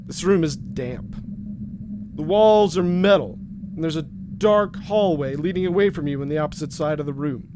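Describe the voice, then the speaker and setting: A man narrating a noir story in the second person as game master, with a wry, deadpan delivery. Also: fairly high pitch, moderate pace, background noise.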